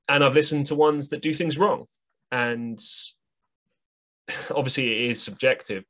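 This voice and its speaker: A man's voice: lowish voice, fairly bright tone. A man hosting his own podcast, talking alone in a rambling, earnest, self-deprecating way with plenty of ums and restarts. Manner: reflective, earnest. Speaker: podcast host. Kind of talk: solo podcast monologue.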